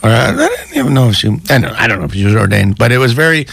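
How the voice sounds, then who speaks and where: Low, gravelly male guest from Canada, halting delivery, dry close sound. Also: wildly swooping pitch, fairly bright tone, no echo. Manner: halting, full of ums and uhs. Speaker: studio guest. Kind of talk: casual anecdote telling. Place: radio studio.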